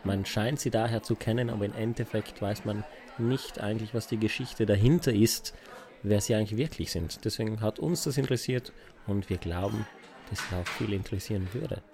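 Gravelly South Tyrolean man, casual podcast co-host. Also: lowish voice, fairly quick, a crowd in the background. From South Tyrol, Italy.

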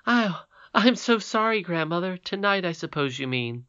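A young princess girl, earnest and raised high, as a man puts her on. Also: lively intonation, normal speed, pushed and bright, clear, resonant tone, dry recording, dull audio. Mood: pleading.

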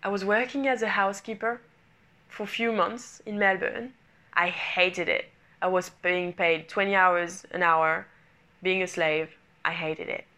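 A woman's voice: lowish voice, steady tone, unhurried, sharp tone. French woman telling her story. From France. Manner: earnest, repetitive phrasing, non-native English grammar. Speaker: speaker sharing her life story. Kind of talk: personal motivational monologue.

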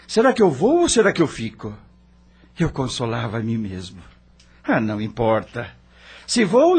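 A man's voice: mid-range voice, breathy edge, exaggerated voice. An actor playing an anguished dead male rock star, narrating his own story in a theatrical, brooding radio drama style. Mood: anguished, defiant.

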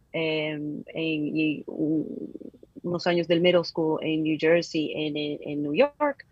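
Low-voiced Dominican woman, husky. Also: no echo, lo-fi sound. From Dominican Republic. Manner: hesitant, many fillers and restarts. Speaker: guest. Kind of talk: casual interview.

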